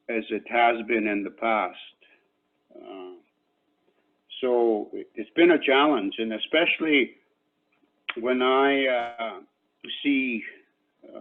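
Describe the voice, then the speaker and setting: Slow, measured older man with Cree roots. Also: mid-range voice. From Canada. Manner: slow and measured, with many pauses and fillers. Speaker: Elder and invited speaker. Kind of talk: reflective talk at an online panel. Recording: tinny phone-like call audio.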